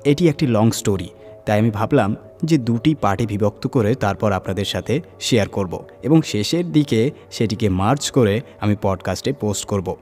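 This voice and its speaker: A man's voice: fairly low pitch, lively intonation, normal speed, a little mellow, soft background music. A man reading a scripted horror story as its narrator, in a dramatic storytelling style.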